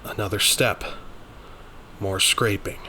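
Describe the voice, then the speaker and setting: A man narrates a scripted horror story in the first person. His voice is on the low side, with a strong bright, forceful edge. There is faint noise in the background. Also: fairly even intonation, slow.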